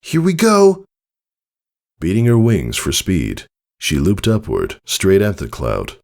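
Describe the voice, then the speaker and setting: Male story narrator: low, gravelly register, expressive dramatic reading. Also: a little slow. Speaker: audiobook narrator. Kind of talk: scripted narration of fan fiction, dramatic storytelling.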